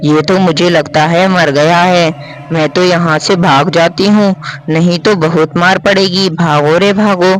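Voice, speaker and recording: A man's voice: sits high, fairly even intonation, measured pace, poor audio. A man voicing a hot-tempered, defiant schoolgirl in a spoken story, with a brash, quarrelsome manner. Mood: panicked. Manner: brash, quarrelsome, pleading when accused.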